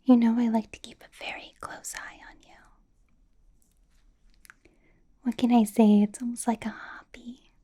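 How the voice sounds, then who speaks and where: A woman playing a doting, possessive and sweetly menacing female vampire boss in a whispered ASMR roleplay, with slow, breathy delivery. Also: fairly high pitch, little pitch movement. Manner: breathy, slow whispering with soft little laughs.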